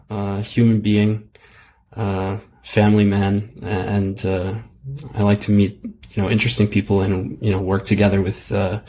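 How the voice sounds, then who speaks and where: A man's voice: lowish voice, a little mellow, slight background noise. A man being interviewed as a guest, talking casually over a video call and hesitating with many fillers. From Germany.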